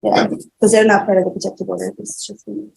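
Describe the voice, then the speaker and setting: A woman testifying as a witness in a courtroom, answering in a hesitant, halting way with many fillers. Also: medium pitch, little pitch movement, vocal fry, darkish tone. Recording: echoey courtroom with faint room noise.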